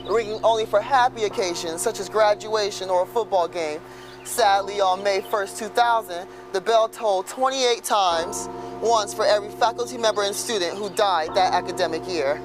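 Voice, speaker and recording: A man reading scripted news narration as a reporter. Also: sits high.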